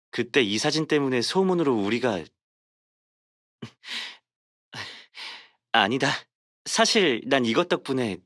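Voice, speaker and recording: A man's voice: mid-range voice, a bit bright, dry recording, studio quality, natural voice. A man voicing a tender, devoted young sweetheart in a romance game, affectionate and intimate in manner. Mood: teasing, nostalgic. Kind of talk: game character voice acting.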